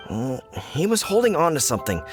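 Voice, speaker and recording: A man's voice: on the high side, very animated, fairly quick, over music. A man playing a flustered, indignant fruit merchant in a video game, grumbling in an exasperated way.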